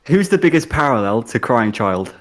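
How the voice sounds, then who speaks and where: A man's voice: medium pitch, big pitch swings, moderate pace. A man co-hosting a casual fan podcast over an online call, playful and teasing, laughing often. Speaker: podcast co-host. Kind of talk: casual chat.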